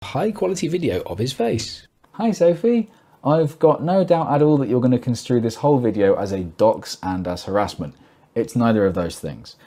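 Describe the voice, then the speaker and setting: A man's voice: medium pitch, lively intonation, normal speed, warm tone. A man reading a scripted narration in a pointed, sarcastic, accusatory manner. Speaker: narrator of a call-out video.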